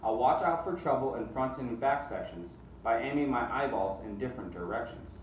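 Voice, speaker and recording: A young, put-upon storybook traveler, voiced by a man reading aloud, earnest and plaintive. The medium-pitched voice comes from back off the mic in a roomy space. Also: animated, normal speed, dull audio, natural voice. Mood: determined. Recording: distant mic, roomy echo, faint noise.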